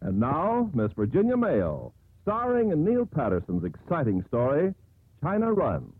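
A man's voice: fairly high pitch, wildly swooping pitch, measured pace. A man announcing in 1950s radio style. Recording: muffled narrow-band old radio broadcast. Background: loud music.

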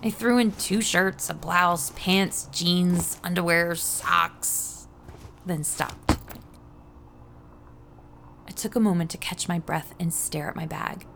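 An actress playing a frightened, bewildered ordinary woman, telling her own thriller story in an urgent, breathy way. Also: on the low side, fairly even intonation, takes it slowly, fairly bright tone, exaggerated voice. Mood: tense, urgent. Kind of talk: first-person dramatic audio fiction narration. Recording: noisy sound-effect ambience behind voice.

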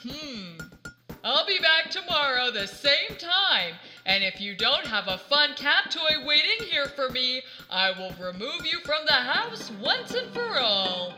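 A bossy meowing cat. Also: female voice, sits high, big pitch swings, slow, bright, forceful tone, faint music behind, full character voice. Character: big fluffy bossy female cat, greedy and demanding.

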